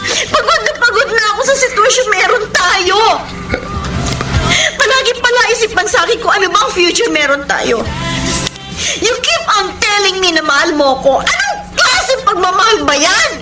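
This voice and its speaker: A high-voiced Filipino woman, emotional and heartbroken, in a radio love drama. She mixes Tagalog and English with weary resolve, in an echoey recording with loud music. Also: sing-song, moderate pace. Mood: tearful, pleading, angry. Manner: code-switches between Tagalog and English. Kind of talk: Filipino radio romance drama acting and narration.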